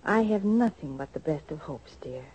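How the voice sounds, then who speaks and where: An actress playing a gentle, worried middle-aged mother in a 1940s radio soap, speaking hesitantly and tenderly. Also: sits low, expressive, normal speed, slight background noise, low-quality recording. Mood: anxious tenderness.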